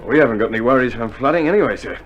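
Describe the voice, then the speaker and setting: An actor playing a steady, matey Australian soldier, laconic and down-to-earth, in a 1960s radio drama. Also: male voice, medium pitch, racing, muffled recording, natural voice. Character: cheerful, steady, laconic infantry soldier under fire. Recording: noisy old vinyl radio drama recording.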